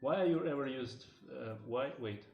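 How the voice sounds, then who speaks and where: A man's voice: mid-range voice, normal speed, creaky, highs cut off. A male streamer talks loosely to his chat while he works, piling up fillers and restarts. He drops into broken learner Japanese for a joke. Faint music plays behind him. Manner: rambling, many fillers, answers chat. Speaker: streamer and game developer. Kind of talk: casual live-stream chat while drawing.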